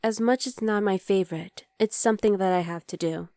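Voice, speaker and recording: Soft, close-up demon girlfriend, acted by a woman. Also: mid-range voice, brisk, dry recording, natural voice. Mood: touched, earnest. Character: affectionate young demon girlfriend, daughter of underworld leader. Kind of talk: ASMR roleplay, intimate one-sided dialogue to listener.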